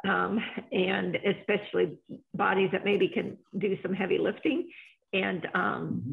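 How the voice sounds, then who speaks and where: A woman's voice: medium pitch, steady tone, a little slow, vocal fry. A woman making casual, meandering remarks at a club meeting over a video call. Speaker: club member. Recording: tinny phone-like line.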